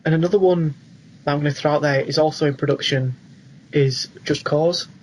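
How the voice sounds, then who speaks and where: A man's voice: fairly high pitch, fairly even intonation, brisk, slight background noise, rough recording. A young British man co-hosting a podcast, talking informally and agreeably in casual chat. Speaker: podcast co-host. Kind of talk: casual film and game chat.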